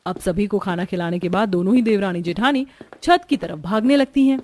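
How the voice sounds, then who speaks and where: A woman's voice: medium pitch, brisk, a little mellow. A woman giving scripted narration for a cartoon family story.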